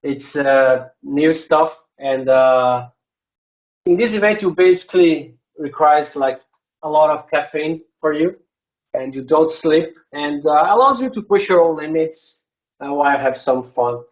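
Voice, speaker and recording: A man's voice: on the high side, expressive, a little slow, highs cut off. A man from Brazil with a Brazilian Portuguese accent, a non-native English speaker, giving a conference presentation with many hesitant filler sounds.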